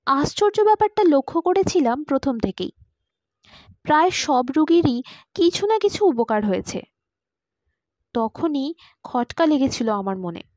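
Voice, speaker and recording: A woman's voice: high voice, big pitch swings, a little slow, pauses often, a little mellow, dry recording. A woman reading a first-person story aloud as a scripted audiobook narrator.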